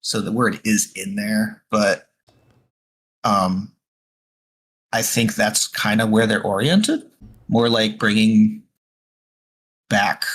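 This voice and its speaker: A man's voice: lowish voice, fairly bright tone, a little hiss or hum, poor audio. A man co-hosting a casual political podcast, talking in a dry, self-deprecating joking way. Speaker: podcast co-host.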